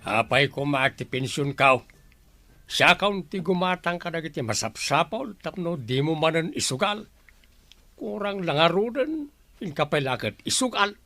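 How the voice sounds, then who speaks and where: A man acts a grouchy older Ilocano father in a radio drama. His voice is high and sharp, captured dry and close. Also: sing-song, normal speed, no room sound, soft background music, full character voice. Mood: grumbling, defensive.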